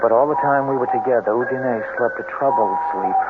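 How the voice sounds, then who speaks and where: A man's voice: mid-range voice, fairly quick, over loud music, close to an everyday voice. A male actor playing an earnest, anxious man in a radio drama with first-person narration. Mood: somber. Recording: noisy, tinny old radio broadcast.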